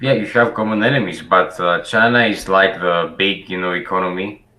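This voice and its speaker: A man's voice: low voice, normal speed, bright, forceful tone, reverberant. A man from Greece, talking to a stranger in a casual video chat, hesitant and full of fillers.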